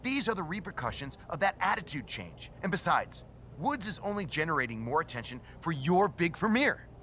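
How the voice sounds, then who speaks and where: Slick promoter in a game, bright highish voice, swoopy and persuasive, faint noise behind. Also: male voice, big pitch swings, fairly quick. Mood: persuasive, sly. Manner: emphatic, smooth-talking, persuasive. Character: slick, self-assured male showbiz promoter, smooth persuader. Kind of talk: scripted video game cutscene acting.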